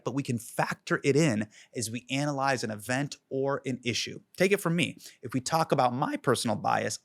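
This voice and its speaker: A man in his forties hosting a show, moving between comedic monologue and interview with a quick, playful, punchline-driven delivery. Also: mid-range voice, a bit bright, no echo, studio quality. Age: 40s. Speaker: host.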